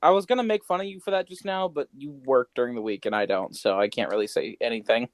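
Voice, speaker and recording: A man's voice: medium pitch, fast, dry recording, studio quality. A man co-hosting a podcast, bantering casually with a playful, sweary, irreverent manner. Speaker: podcast co-host.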